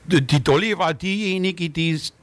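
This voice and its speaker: A man's voice: on the high side, normal speed, a bit bright. Older man with an Austro-Bavarian accent. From Germany or Austria. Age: 60s. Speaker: country musician, interview guest. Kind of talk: casual interview talk.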